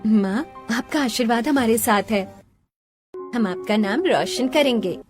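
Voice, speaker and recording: A woman voicing a humble young Indian sister in a Hindi cartoon story, speaking softly and earnestly. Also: on the high side, animated, unhurried, music in the background. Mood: hopeful.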